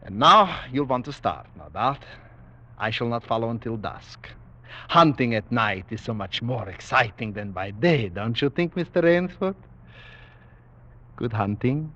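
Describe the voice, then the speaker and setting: An actor playing a courtly, menacing aristocratic general who hunts men for sport, in 1940s radio drama style. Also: male voice, mid-range voice, animated, a little slow. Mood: relishing, courtly. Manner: courtly, menacing politeness with soft chuckles. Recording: dull vintage 1940s radio recording.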